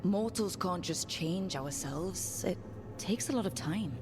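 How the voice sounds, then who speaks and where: A woman playing a steady, caring adventurer in a video game, speaking in an earnest, frank and reasoning way. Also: on the low side, unhurried, music in the background. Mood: understanding. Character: steady, caring, frank female adventurer talking a companion through pain. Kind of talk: dramatic video game voice acting.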